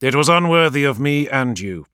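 A man voicing a proud, fiery male war leader who speaks in a raised, forceful way. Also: mid-range voice, animated, normal speed, clean tone, no room sound, clean studio sound, voice pushed up, natural voice. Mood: earnest. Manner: forceful, raised, impassioned delivery.

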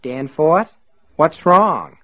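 A frightened, timid wife pleads in a raised, shrill voice that leaps and cracks, as a man reading an audiobook puts her on. Her delivery swings widely in pitch, all fear and no fight. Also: expressive, very slow, sharp tone, heavily acted. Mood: anxious. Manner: pleading, shrill cries of pain. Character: frightened, timid middle-aged wife, woman. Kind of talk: audiobook narration.

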